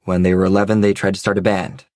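An actor playing a grave, measured narrator of a tragic investigation in an audio drama. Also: male voice, deep voice, little pitch movement, darkish tone, no room sound, studio quality, natural voice. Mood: matter-of-fact. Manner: solemn, measured. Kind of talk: scripted documentary-style narration.

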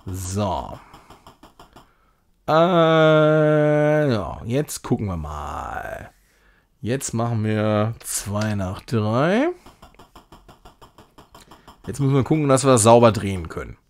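Man, game streamer, casual live commentary, animated pitch movement, chatty, rambling, thinking aloud. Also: slow pace, pauses often.

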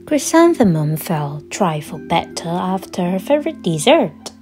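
A woman reading a children's picture book aloud in an expressive storytelling style. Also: fairly low pitch, measured pace. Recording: clear music behind the voice. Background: background music.

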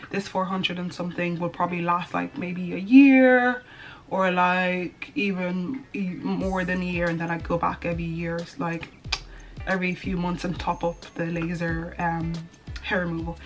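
A woman's voice: fairly low pitch, fairly bright tone. A young woman chatting to camera in a small bedroom, rambling and often correcting herself. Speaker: beauty vlogger. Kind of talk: casual chat to camera. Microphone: camera in front at desk distance.

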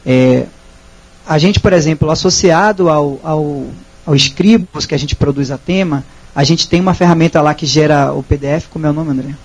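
A man's voice: on the high side, moderate pace, breathy edge, poor audio. A man in his thirties with a Brazilian Portuguese accent, presenting a technical talk in a small auditorium with a handheld microphone, hesitant and explanatory with frequent restarts. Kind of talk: presentation and audience Q&A.

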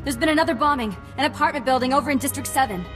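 A woman's voice: sits high, racing, faint music behind, natural voice. A woman voicing a worried, urgent female town messenger in a video game.

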